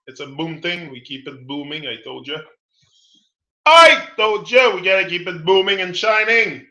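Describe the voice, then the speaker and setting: A man's voice: medium pitch, pushed and bright, echoey room, lo-fi sound. A man hosting a live motivational talk, his voice a bit strained from singing, upbeat and encouraging, rambling with restarts and repeated catchphrases. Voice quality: loose, rambling delivery with frequent restarts and fillers. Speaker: motivational host.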